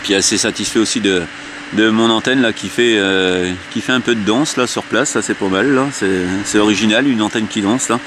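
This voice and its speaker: A man's voice: fairly low pitch, normal speed. A man chatting casually as an amateur radio operator, often repeating his words. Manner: repeats himself. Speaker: amateur radio operator filming an antenna test. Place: outdoors at an elevated open spot. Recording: noisy outdoor ambience.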